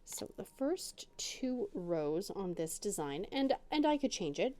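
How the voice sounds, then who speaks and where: Chatty woman crafter, mellow and lively. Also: mid-range voice, a little slow, a little hiss or hum. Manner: rambling, self-deprecating, chatty. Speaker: crafting channel host. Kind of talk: casual chat while crafting. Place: home dining room.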